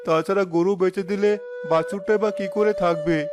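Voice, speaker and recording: A man's voice: high voice, steady tone, normal speed, lightly acted. A man voicing a worried, despairing middle-aged village father in a cartoon, plaintive and lamenting. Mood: resigned. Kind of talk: Bangla cartoon voice acting. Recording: music behind the voice.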